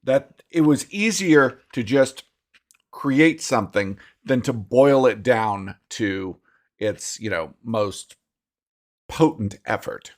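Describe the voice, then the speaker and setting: A man's voice: mid-range voice, takes it slowly. A middle-aged man co-hosting a casual podcast, laughing often and restarting his sentences. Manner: animated, laughs often, talks through restarts. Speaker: podcast co-host. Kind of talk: casual chat.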